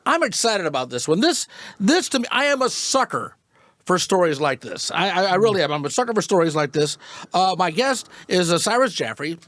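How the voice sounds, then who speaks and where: A man's voice: sits high, sing-song, a little fast, fairly bright tone, no room sound, clean studio sound. A man hosting a podcast interview, enthusiastic, with stammered restarts. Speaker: podcast host. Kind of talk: conversational interview. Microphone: podcast studio mic.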